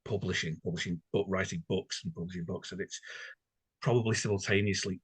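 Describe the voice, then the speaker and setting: A man's voice: low voice, fairly even intonation, fairly quick, slightly rough, no room sound. A man co-hosting a podcast in casual, reflective chat, earnest and slightly florid in his wording. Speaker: podcast co-host.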